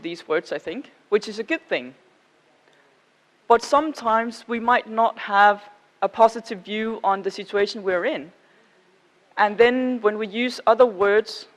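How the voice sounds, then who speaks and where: A woman with a Danish accent speaks as a conference presenter. Her voice sits at a middling pitch for a woman. She talks informally and in a measured way, addressing her listeners directly. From Denmark.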